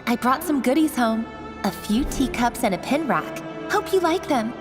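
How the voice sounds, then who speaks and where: A woman voicing a cheerful, homely video game character in a gentle, friendly way. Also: on the high side, expressive, normal speed, natural voice. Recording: long reverb, music underneath. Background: music.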